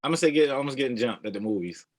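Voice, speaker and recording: A man's voice: mid-range voice, lively intonation, very fast. A man in his late thirties, a podcast guest, talking bluntly and in slang with a laid-back storytelling manner. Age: late 30s. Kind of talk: casual chat.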